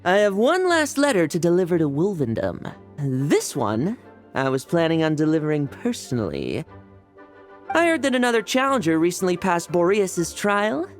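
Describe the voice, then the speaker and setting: Casting: polite earnest messenger, low voice with very wide swings. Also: female voice, very animated, normal speed. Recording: game audio with faint music.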